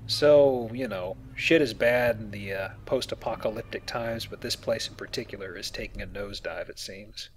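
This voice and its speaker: A man's voice: lowish voice, normal speed, few pauses. Man explaining a video game, some background noise. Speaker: let's player playing and explaining the game. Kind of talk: casual gameplay commentary.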